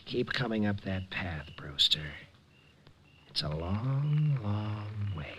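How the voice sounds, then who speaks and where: A man's voice: on the low side, lively intonation, takes it slowly, husky, dull audio, full character voice. An actor playing a half-crazed gunman bent on revenge, cold and menacing, in a 1950s radio drama. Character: unhinged gunman seeking revenge.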